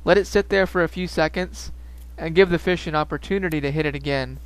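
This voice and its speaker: A young man giving calm, matter-of-fact fishing tips as a voice-over narrator. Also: a little high, quick pace, clear, resonant tone, poor audio. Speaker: fishing video host.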